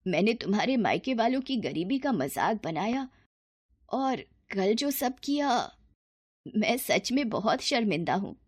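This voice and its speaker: A woman's voice: mid-range voice, very animated, a little slow, breathy edge, dry recording, studio quality. A woman as a haughty Indian-storybook mother-in-law. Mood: weak, remorseful. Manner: sarcastic, scolding. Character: haughty, scornful middle-aged mother-in-law, later remorseful.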